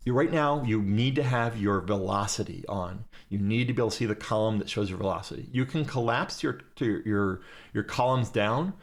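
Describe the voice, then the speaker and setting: A man's voice: on the low side, normal speed. A man teaching a class during a live game, giving step-by-step directions to his fleet over voice chat.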